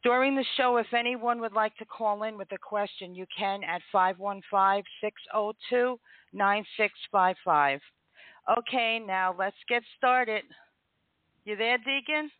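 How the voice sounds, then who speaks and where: A woman's voice: mid-range voice, measured pace, dry recording. A woman hosting a casual call-in interview, friendly and restarting her sentences often. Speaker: podcast host. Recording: tinny phone-like audio, dry.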